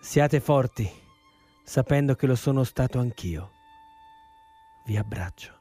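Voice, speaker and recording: Dark-voiced solemn condemned young man. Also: mid-range voice, husky, faint music behind. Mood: solemn, tender. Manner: solemn, tender, measured. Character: young condemned male prisoner writing farewell to his parents. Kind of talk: dramatic reading of a farewell letter.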